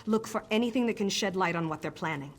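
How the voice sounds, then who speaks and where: An actress playing a gruff orc woman agent in a fantasy game, speaking bluntly and curtly with wry edges. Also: mid-range voice, lively intonation, quick pace, a little hiss or hum, close to an everyday voice. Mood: gruff, conspiratorial. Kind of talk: video game voice acting. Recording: loud music under the voice.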